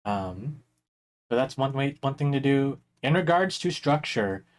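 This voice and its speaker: A young man with a slightly hoarse voice leads a drawing lesson on a live stream. He talks casually and rambles, filling pauses with ums and small laughs as he explains. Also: medium pitch, animated. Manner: chatty, rambling, with many fillers and laughs. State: a little hoarse. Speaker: art instructor streaming a drawing workshop.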